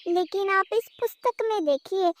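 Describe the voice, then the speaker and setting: A woman's voice: extremely high pitch, moderate pace. A woman voicing an earnest, loyal young servant who speaks deferentially to a king in a cartoon story. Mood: earnest, urgent. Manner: deferential, pleading, explanatory.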